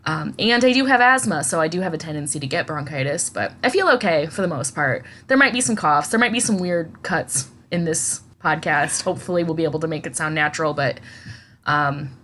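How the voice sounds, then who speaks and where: A woman in her thirties with an Iowa accent, co-hosting a podcast in casual chat while hoarse and raspy from a cold. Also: low-pitched, lively intonation, brisk, fairly bright tone, a little hiss or hum. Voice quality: husky, raspy. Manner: chatty, self-deprecating. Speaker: podcast co-host.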